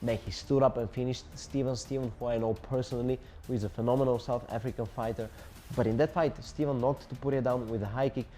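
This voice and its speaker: A man's voice: mid-range voice, steady tone, fast, warm tone, soft background music. A Bulgarian man in his twenties, a combat sports journalist speaking English as a second language, breaking down a fight with emphatic, repetitive lists of tactics. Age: 20s. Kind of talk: solo fight analysis to camera.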